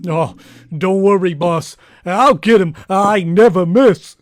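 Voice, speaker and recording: A man playing a jittery, slow-witted criminal henchman who stammers and hesitates, in 1940s radio serial style. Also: high voice. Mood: confident.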